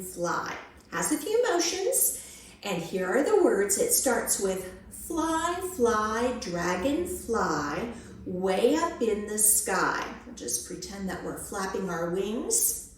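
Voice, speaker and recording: A woman leading a children's storytime, reading picture books and action rhymes in an expressive, measured, sing-song way. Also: medium pitch, reverberant.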